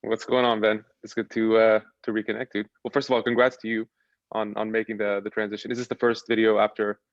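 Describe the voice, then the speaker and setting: A young man from Lebanon, a podcast guest, chatting casually and relaxed about his background, often restarting his sentences. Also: lowish voice, fast talker, no room sound, highs cut off. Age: young adult. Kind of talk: casual chat.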